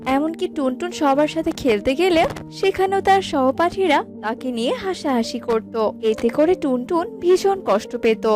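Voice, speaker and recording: A woman's voice: sits high, animated, measured pace, over music. A woman narrating a children's cartoon story in a scripted storytelling style.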